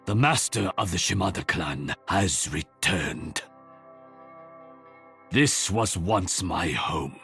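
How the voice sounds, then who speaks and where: A man voicing a proud, brooding exiled warrior archer in a video game, with a Japanese accent and a stern, formal, gravelly delivery. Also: deep voice, animated, slow pace, pauses often, fairly bright tone, faint music behind, exaggerated voice. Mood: solemn, proud. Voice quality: gravelly, husky. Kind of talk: video game character voice lines.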